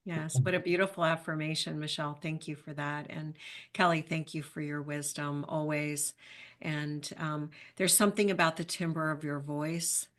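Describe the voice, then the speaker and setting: A woman with a fairly low voice chats casually as a podcast guest. She comes across as encouraging and appreciative toward the others. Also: steady tone, normal speed. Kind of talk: casual chat.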